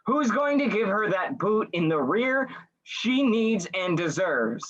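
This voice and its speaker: A man playing an indignant, sardonic playwright in a radio play, scoffing and deliberate, on a slightly dull recording. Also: sits high, very animated, a bit bright, heavily acted. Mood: indignant scorn.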